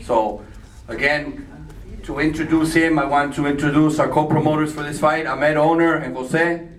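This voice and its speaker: A man's voice: a little high, fairly even intonation, normal speed. A man speaking steadily and graciously at a press conference. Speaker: boxer. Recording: echoey hall, distant mic, clear noise.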